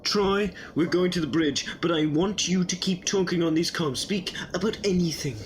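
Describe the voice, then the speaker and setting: A man plays an eccentric time traveller in an audio drama. His voice sits a little high for a man and turns whimsical phrases with urgency. A faint noise of ambience lies behind him. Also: moderate pace, lightly acted. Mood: brisk, purposeful.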